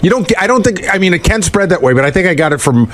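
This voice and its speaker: A man's voice: sits high, lively intonation, fast, a bit bright. A man on a talk radio show staff, chatting casually and defensively as he explains himself. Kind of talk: casual conversation.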